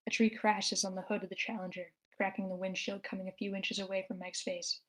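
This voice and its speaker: A woman's voice: mid-range voice, little pitch movement, fairly quick, fairly bright tone, poor audio. A woman reading stage directions as the narrator of a screenplay table read. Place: online meeting, own room.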